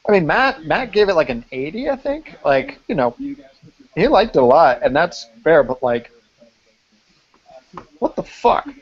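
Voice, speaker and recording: A man's voice: fairly high pitch, big pitch swings, measured pace, pauses often, slightly rough. A man in a casual live-stream chat about films who swears freely and talks in fillers like "like". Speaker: film site writer hosting a live-stream chat. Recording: muffled online call audio.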